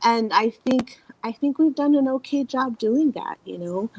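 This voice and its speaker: A woman's voice: a little high, animated, a little slow, darkish tone, husky, low-quality recording. Earnest woman reflecting on family. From Philippines. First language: Tagalog. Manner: earnest, reflective, many "you know" fillers. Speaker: guest parent. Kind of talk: casual panel conversation. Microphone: video call from a hotel room.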